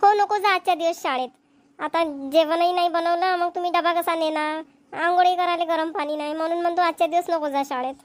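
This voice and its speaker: A woman's voice: extremely high pitch, steady tone, brisk, slight background noise. A woman voicing a fretful homemaker mother in a Marathi cartoon comedy, with a rural Vidarbha accent, fussing and thinking aloud. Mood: firm. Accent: Maharashtrian, rural Vidarbha dialect. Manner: fussing, talks problems through aloud. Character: fretful homemaker mother of two young children.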